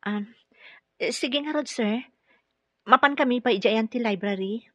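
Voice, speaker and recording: A woman's voice: fairly high pitch, measured pace, no echo, muffled recording. A woman with an Ilocano Filipino accent playing a kind, dutiful caregiver in a radio drama, polite and earnest. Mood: polite, firm.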